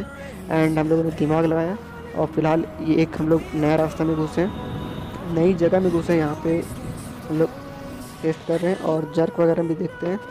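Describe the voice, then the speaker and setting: A young man from India narrating a casual moto vlog from a scooter in traffic, recorded on a phone on his helmet mount. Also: on the high side. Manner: rambling, repeats himself, chatty. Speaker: moto vlogger. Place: outdoors on a scooter in road traffic. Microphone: phone on helmet chin mount.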